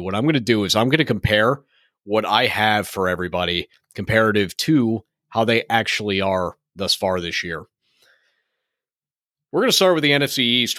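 A man hosting a solo podcast, his voice a little hoarse and raspy, talking in a rambling way with lots of fillers and restarts. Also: fairly low pitch, fairly bright tone, no echo, clean studio sound. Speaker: podcast host.

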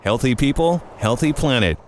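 A man's voice: medium pitch, expressive, moderate pace, slight background noise. A man hosting a radio-style podcast, casual and chatty as he runs through segments. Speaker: podcast host. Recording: music bed behind voice.

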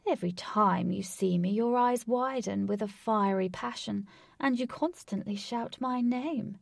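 A woman's voice: medium pitch, creaky, no echo, clean studio sound. Woman putting on a theatrical stalker man. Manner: theatrical, grandiose, drawn-out. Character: theatrical, delusional adult male stalker.

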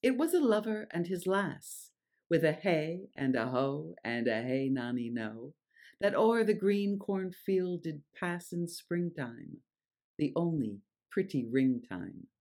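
A presenter giving scripted narration and reciting verse in a measured, deliberate, theatrical way. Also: medium pitch, big pitch swings, a little mellow. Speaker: presenter and reader.

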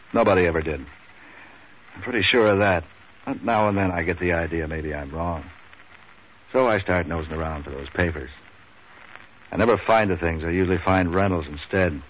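An actor playing a cynical, wisecracking man for hire in a deadpan, slangy 1940s radio noir style. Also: low voice. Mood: wry, weary. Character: wisecracking, hard-boiled male man for hire. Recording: dull, slightly noisy old radio recording.

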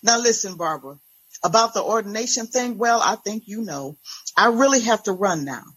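Exasperated wife in a domestic audiobook drama, played by a woman, raspy edge, hard emphasis. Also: medium pitch, animated, normal speed, fairly bright tone, poor audio. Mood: coolly cutting. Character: fed-up, furious pastor's wife confronting her husband.

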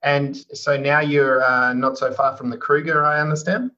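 A man hosting a podcast asks easygoing questions, stopping often on fillers as he goes. His voice is bright and forceful but comes through a dull, lo-fi recording. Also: on the high side, moderate pace. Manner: relaxed, hesitant with many fillers. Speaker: podcast host. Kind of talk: casual interview. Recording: dull lo-fi sound.

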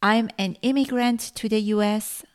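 A middle-aged woman, a native Farsi speaker from Iran, hosting her own podcast and telling her story earnestly with frequent pauses. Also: on the high side, steady tone, clean tone. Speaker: podcast host. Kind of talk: solo podcast monologue.

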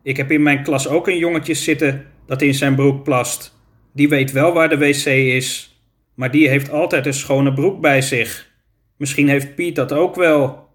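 A man's voice: medium pitch, steady tone, a little slow, lightly acted. A man voicing a sensible, earnest schoolboy in a children's story. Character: sensible, observant schoolboy who explains things earnestly.

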